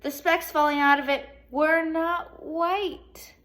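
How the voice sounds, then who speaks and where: Woman, teacher, higher-pitched voice, animated children's read-aloud, storyteller delivery, questions to listeners. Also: slow. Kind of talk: expressive children's read-aloud, speaking to young pupils.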